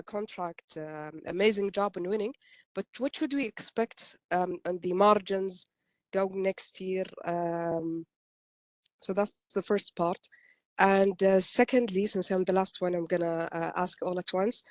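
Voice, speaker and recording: A woman's voice: lowish voice, a little mellow, no echo. A woman asking unscripted questions as a financial analyst on an earnings call.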